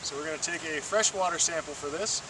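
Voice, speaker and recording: A man's voice: fairly high pitch, fast. A man giving a patient, step-by-step, encouraging hands-on demonstration as a field trainer. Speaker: water-quality field trainer. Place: outdoors beside flowing water. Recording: distant mic, loud outdoor stream noise.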